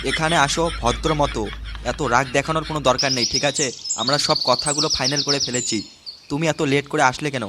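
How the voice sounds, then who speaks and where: A man's voice: medium pitch, steady tone, fast talker, very noisy, lightly acted. A man voicing a bossy, impatient older uncle who gives orders in a comic cartoon. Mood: firm. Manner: commanding, impatient. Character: bossy older uncle organizing the village picnic.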